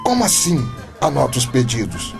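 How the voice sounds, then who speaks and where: A man's voice: medium pitch, wildly swooping pitch, moderate pace, gravelly, music in the background. An actor with a Brazilian accent playing an earnest, stubborn, lovestruck eighty-year-old man in a radio drama. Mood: urgent, puzzled.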